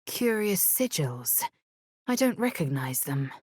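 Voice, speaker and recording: A fierce alien warrior woman from a fantasy video game, husky and breathy. She speaks in measured, clipped phrases full of disdain, and her pitch swings widely from low to sharply raised. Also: very animated, a little mellow, dry recording, studio quality, full character voice. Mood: curt, appraising. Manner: clipped, imperious, disdainful delivery. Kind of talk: video game character voice lines.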